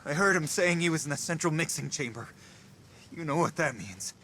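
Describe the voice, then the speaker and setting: A frightened male worker with a slightly high voice, anxious and despairing. It sounds like a game cutscene, with faint ambience behind. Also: lively intonation, brisk, sharp tone, a little hiss or hum. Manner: anxious, fatalistic. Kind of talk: video game cutscene acting.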